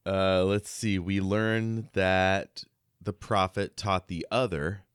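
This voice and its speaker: Man, low voice, unhurried pace, podcast co-host, casual book chat, musing, questioning. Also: fairly even intonation, clean tone, dry recording, clean studio sound.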